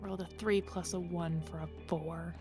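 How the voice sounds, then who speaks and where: A woman's voice: lowish voice, steady tone, moderate pace, darkish tone. A woman playing a tabletop roleplaying game, talking casually and playfully as one of the players. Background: music under the voice.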